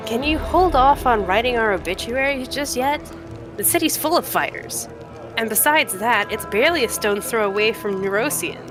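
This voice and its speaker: A woman's voice: a little high, expressive, normal speed, close to an everyday voice. A woman voicing a tough, wry female city scout in a video game, with a folksy, sarcastic, slangy way of talking. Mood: firm, earnest. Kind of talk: reading video game dialogue aloud. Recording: loud music under the voice.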